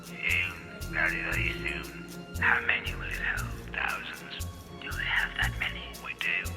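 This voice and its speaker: An actor playing a shady, secretive plotter in an audio drama, hushed, furtive and terse. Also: male voice, deep voice, unhurried, creaky, over music, heavily acted. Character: shady, secretive male conspirators plotting in low voices.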